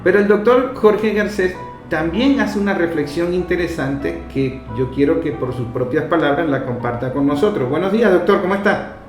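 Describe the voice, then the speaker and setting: Venezuelan guy hosting a radio talk, pretty high voice, echoey, long winding sentences. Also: moderate pace. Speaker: radio host interviewer. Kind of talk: radio interview commentary. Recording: roomy echo, faint music behind.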